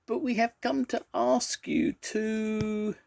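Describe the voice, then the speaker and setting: A man voicing a small, timid storybook animal with a squeaky little voice, hesitant and polite. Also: takes it slowly, creaky, darkish tone, exaggerated voice.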